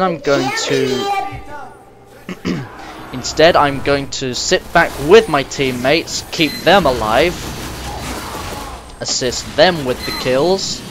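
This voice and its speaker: A young man, slightly croaky and sniffly, rambling casually to the viewer as he plays and comments on a game. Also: medium pitch, noisy background. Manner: rambling, chatty, talks straight to the viewer. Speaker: gamer recording gameplay commentary. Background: game music and sounds.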